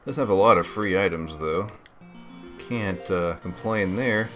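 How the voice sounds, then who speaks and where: A man's voice: deep voice, unhurried, a bit bright, soft background music. A man giving casual, rambling game commentary as a let's play host, laid-back and slangy.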